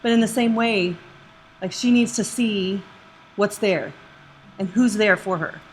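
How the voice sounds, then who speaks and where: A woman's voice: mid-range voice, a little slow, slight background noise, poor audio. A woman in the audience sharing her reflections casually. She speaks earnestly and rambles a bit, using many fillers. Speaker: audience member.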